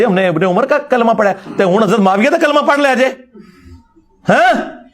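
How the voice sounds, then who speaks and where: A middle-aged man with a Pakistani Punjabi accent giving an informal, polemical religious lecture in a combative, mocking, emphatic way. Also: high-pitched.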